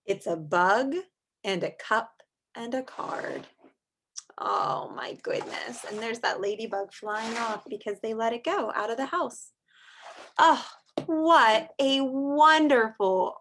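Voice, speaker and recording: Female teacher, slightly high voice, expressive read-aloud for kids with plain-worded asides, noisy amateur recording. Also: slow. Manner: expressive, speaking simply to young children. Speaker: teacher reading aloud to children. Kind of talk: picture-book read-aloud with chatty asides.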